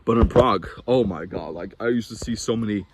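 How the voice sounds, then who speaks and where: A man's voice: lowish voice, brisk, background noise. A young man from Norway talking casually to camera in a vlog, rambling and chatty, with frequent restarts and fillers. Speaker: vlogger.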